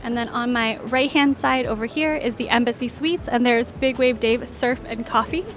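A woman with a somewhat high voice narrates a walking tour as a travel host. She is conversational and informative, pointing out one sight after another in an orderly way. Faint music plays behind her. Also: normal speed. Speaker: travel guide and vlog host.

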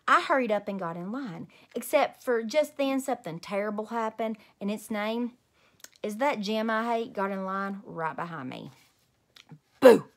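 Woman in her 30s doing a kids read-aloud, bright clear storytelling voice, expressive. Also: medium pitch, normal speed. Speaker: children's read-aloud host. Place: small home room indoors.

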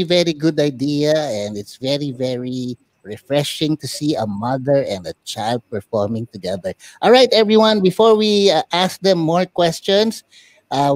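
A man's voice: fairly high pitch, dry recording. A man hosting a talk show, casually interviewing guests and guiding them along.

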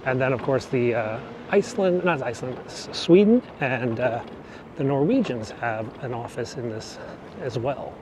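A man's voice: mid-range voice, animated, moderate pace. A man casually narrating a bike ride through the city, rambling and correcting himself as he goes, filmed outdoors on an action camera. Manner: chatty, rambling, self-correcting. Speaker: vlogger. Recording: outdoor street and traffic noise. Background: traffic and city ambience.

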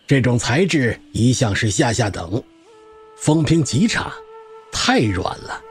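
Old male wanderer for audio drama: folksy, garrulous spear expert, rough-edged husky voice, lively swings. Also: medium pitch, full character voice.

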